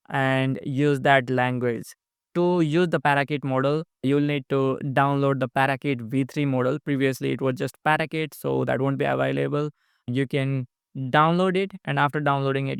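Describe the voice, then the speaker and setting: A young man explains a software update in a plain, matter-of-fact way. His voice is smooth and clean, with gravelly fry at the ends of phrases. The sound is close and dry. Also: mid-range voice, no room sound. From Nepal. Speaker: app developer. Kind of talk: casual screen-recorded product update. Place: indoors, small room.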